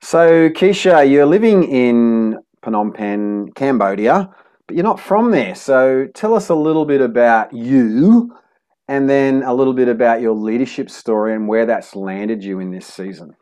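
A man's voice: mid-range voice, lively intonation, unhurried, mellow. Effusive male podcast host. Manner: effusive, piles up adjectives. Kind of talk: upbeat podcast introduction and interview.

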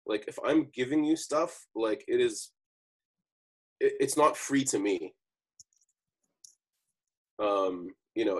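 A man's voice: fairly low pitch, husky. A man who works as a bartender, chatting casually and explaining at length with lots of fillers. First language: English. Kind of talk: casual conversation.

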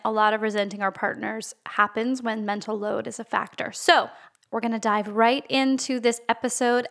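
A woman's voice: on the high side, fairly bright tone. Enthusiastic woman hosting a podcast. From Canada. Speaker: podcast host and life coach. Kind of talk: podcast interview.

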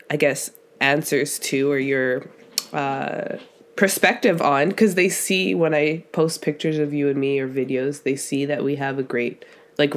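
Casual woman podcast host, slightly low voice. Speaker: podcast host interviewing her father. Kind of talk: casual conversational podcast chat.

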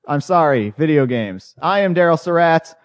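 A man's voice: on the high side, lively intonation, normal speed, no room sound, dull audio. A man hosting a podcast, joking and rambling in casual chat with his co-hosts. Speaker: podcast host.

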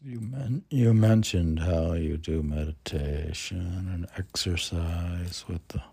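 A man reading poetry informally, halting and musing, talking back to himself in a gravelly voice. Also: low voice, warm tone. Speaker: poet reading own work and commenting. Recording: noticeable background noise.

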